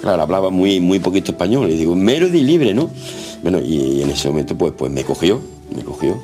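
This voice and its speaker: A man gives a police officer's recollection in an interview, halting and repeating phrases. The voice is very deep, with faint music behind. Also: very animated, dark tone.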